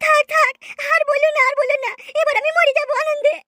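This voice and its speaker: A woman's voice: very high-pitched, fairly even intonation, fast. A woman voicing a brash, vain and quarrelsome village woman in a cartoon, insistent and boastful. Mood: huffy. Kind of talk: cartoon dialogue. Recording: noisy track with clicks.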